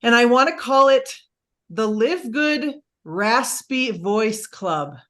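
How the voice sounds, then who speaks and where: An energetic woman speaking to her team over a video call. Her voice sits a little high and she tells her story with plenty of excitement. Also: slow. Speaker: presenter on a team training call. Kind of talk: excited motivational talk.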